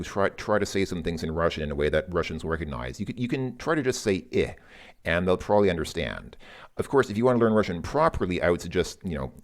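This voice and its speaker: Man, very low voice with wide swings, casual vlog musings, loose and repetitive. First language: English. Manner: musing, loose, repeats words while thinking. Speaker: language learner vlogger. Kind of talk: casual spoken monologue.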